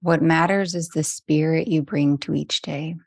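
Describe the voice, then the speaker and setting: A woman leading a guided meditation as a teacher, speaking gently and soothingly with long, unhurried pauses. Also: low-pitched, fairly even intonation, dry recording, studio quality.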